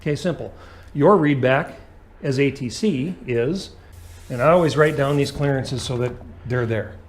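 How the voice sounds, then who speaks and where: A man's voice: mid-range voice, moderate pace, slight background noise. Male flight instructor explaining step by step. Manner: explanatory, checks understanding with short questions. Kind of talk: hands-on aviation lesson.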